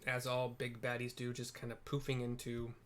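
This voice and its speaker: A man's voice: medium pitch, monotone, moderate pace, a bit bright, a little hiss or hum. A man giving casual, unscripted gameplay commentary, rambling and thinking aloud as he explains what to do.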